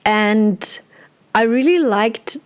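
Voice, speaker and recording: A young woman from Germany hosts her podcast, telling her personal story in a relaxed way, with many fillers and restarts. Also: on the high side, very slow, clear, resonant tone. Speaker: podcast host.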